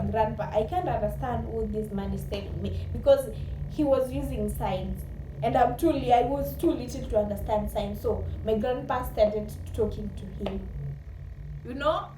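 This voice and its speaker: A woman with a Kenyan accent telling casual personal stories in an animated way, acting out remembered dialogue and switching between two languages. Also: on the high side. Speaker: vlogger. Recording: roomy echo, distant mic, noisy background.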